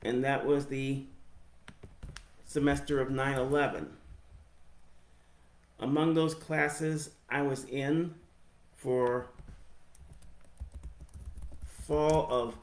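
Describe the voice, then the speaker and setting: An American man in his forties with a speech impediment, giving a personal reflective narration in halting, deliberate phrases. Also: mid-range voice, steady tone. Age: 40s. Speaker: higher education professional telling own story. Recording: roomy, echoey sound.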